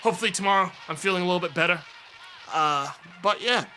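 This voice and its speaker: A young man with a hoarse, raspy voice, casually chatting to his viewers on a live stream and thanking subscribers in a rambling, offhand way. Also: high-pitched, fast talker, sharp tone, slight background noise. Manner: rambling, offhand, trails into mumbles.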